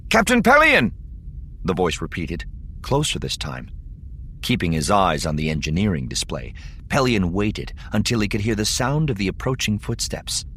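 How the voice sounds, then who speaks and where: A man's voice: deep voice, expressive, moderate pace, fairly bright tone, slight background noise. A man reading dramatic scripted fiction as an audiobook narrator.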